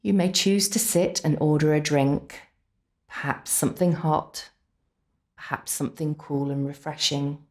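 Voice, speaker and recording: A woman narrating a scripted guided visualisation in an unhurried, soothing way, with deliberate pauses. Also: low-pitched, a little mellow. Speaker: complementary therapist leading guided relaxation.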